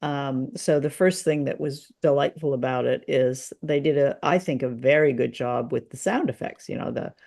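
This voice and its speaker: A woman co-hosting a casual discussion show, speaking in a thoughtful, halting way as she searches for words. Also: very deep voice, vocal fry, a little mellow. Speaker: podcast co-host reviewing radio dramas.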